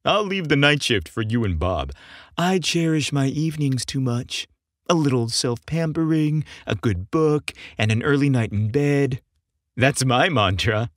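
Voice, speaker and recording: A man's voice: mid-range voice, animated, unhurried, no echo, studio quality, lightly acted. A man voicing an easygoing, teasing young male gym trainer in story dialogue, with a breezy manner. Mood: breezy, content.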